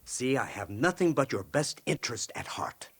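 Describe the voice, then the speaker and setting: Smug, animated government agent. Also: male voice, mid-range voice, normal speed, slight background noise. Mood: smug, mocking. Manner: condescending, falsely genial. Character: smug, sardonic, ruthless government agent in a western. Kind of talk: video game cutscene acting.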